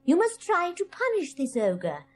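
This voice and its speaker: A woman voicing a mysterious, knowing old woman in a fairy tale, speaking softly and higher than her own voice. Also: huge pitch swings, unhurried, exaggerated voice. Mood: hushed. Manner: soft and hushed.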